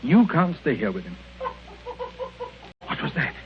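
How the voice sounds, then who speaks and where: A protective, earnest doctor in an old radio play. His voice is fairly high and very animated. The recording is muffled with faint noise. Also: a little slow. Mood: concerned. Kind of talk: 1930s radio acting.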